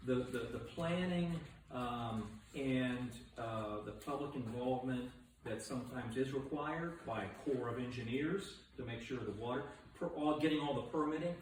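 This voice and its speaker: A man's voice: medium pitch, moderate pace. A man from a state transportation agency answering questions at a public meeting, often stopping to repeat words. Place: large meeting room. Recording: echoey room, distant mic, noisy.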